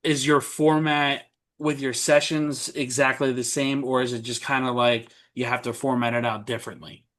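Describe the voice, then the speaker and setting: A man's voice: medium pitch, little pitch movement, moderate pace, fairly bright tone. A man hosting a casual interview, talking in long, rambling turns and often restarting his questions. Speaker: podcast host.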